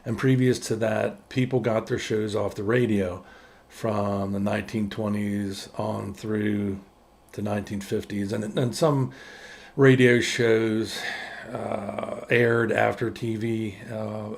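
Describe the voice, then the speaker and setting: A man's voice: fairly low pitch, little pitch movement, slow pace, gravelly. A man presenting in a casual explainer style, rambling and hesitant.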